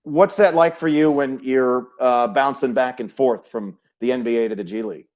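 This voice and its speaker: A man's voice: mid-range voice, moderate pace, darkish tone. A man hosting a sports show, putting questions to his guest in a conversational way. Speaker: sports show host and interviewer.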